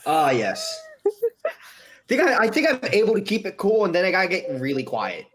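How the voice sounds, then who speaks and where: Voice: medium pitch, huge pitch swings, normal speed, noisy background. Someone chatting casually as host on an online voice call, rambling and repeating phrases. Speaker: host of a fan comic-dub livestream. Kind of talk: casual group chat.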